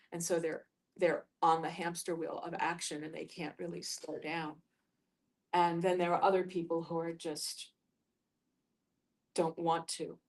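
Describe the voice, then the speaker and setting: A woman facilitating a reflective discussion in a thoughtful, measured way, choosing her words with care. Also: lowish voice.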